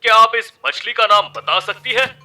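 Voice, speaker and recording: A man's voice: high voice, normal speed, pushed and bright, faint music behind. A man playing a suspense-milking TV game show host in a cartoon dub, announcing dramatically. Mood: suspenseful.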